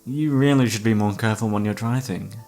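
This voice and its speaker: Man playing low, creaky obsessive killer, teasingly polite. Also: fairly quick, darkish tone, close to an everyday voice. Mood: amused, gloating. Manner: teasing, mock-polite, theatrical, savouring words. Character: obsessive, flirtatious male serial killer holding a detective captive. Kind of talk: ASMR roleplay acting. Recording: background music under the voice.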